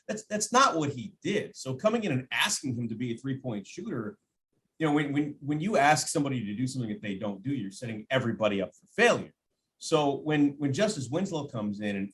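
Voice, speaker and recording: A man's voice: medium pitch, a bit bright, slight background noise, rough recording. A man talking basketball as a radio announcer guest, conversational and emphatic, repeating phrases for stress and voicing imagined quotes. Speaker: sports radio play-by-play announcer, podcast guest. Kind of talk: animated basketball analysis in casual conversation.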